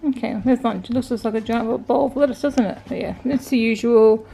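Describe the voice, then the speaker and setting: Tired, croaky Australian woman vlogging at home. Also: on the high side, brisk, dark tone, slight background noise, rough recording. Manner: tired, rambling, self-talking. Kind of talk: casual home vlog. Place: indoors at home.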